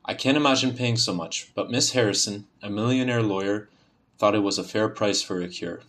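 Young man, Canadian accent with a hint of Serbian, lowish voice, careful even reading, hesitant when chatting. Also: fairly quick. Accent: Canadian, faint Serbian. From Ontario, Canada, born in Bosnia. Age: young adult. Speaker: university student, dialect archive speaker. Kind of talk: reading a set passage, then casual self-introduction.

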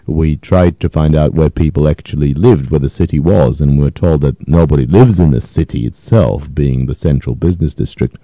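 A man in his fifties, originally from Poland and a native Yiddish speaker, recalls his past in a thoughtful, hesitant way with many pauses. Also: very deep voice, no echo, dull audio. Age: 50s. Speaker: interviewee. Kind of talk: reflective oral history interview.

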